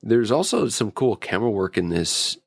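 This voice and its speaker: Low, gravelly man chatting casually. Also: sing-song, normal speed, no room sound. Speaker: podcast guest reviewer. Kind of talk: casual episode review chat.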